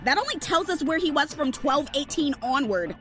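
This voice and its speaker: A woman's voice: high-pitched, big pitch swings, moderate pace, music in the background. A woman playing a smug, relentless young female accuser in a melodramatic audio drama, taunting and emphatic. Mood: combative.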